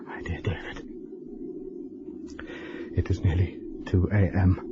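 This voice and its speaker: An actor playing a frightened, educated man in a radio horror drama, speaking formally and haltingly as he writes a letter. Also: deep voice. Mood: uneasy, restrained. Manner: formal, measured, halting delivery with weighted pauses. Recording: dull, lo-fi, noisy radio drama recording.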